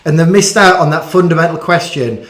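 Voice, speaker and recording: A man with a slightly high voice hosts a casual question-and-answer chat. He is chatty and self-deprecating and often trips over his words. The room around him is noticeably echoey. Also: fairly quick, fairly bright tone. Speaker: interviewer hosting a school bedtime story chat.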